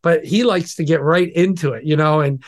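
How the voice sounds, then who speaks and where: Brief: middle-aged father, somewhat high voice, rambling and earnest. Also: brisk. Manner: warm proud rambling, many restarts. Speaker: guest's father. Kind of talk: casual chat.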